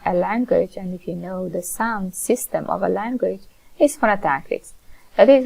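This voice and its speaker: A woman with a Persian accent giving a recorded linguistics lecture in a measured, explanatory way, with frequent fillers. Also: medium pitch, vocal fry, a little mellow, poor audio.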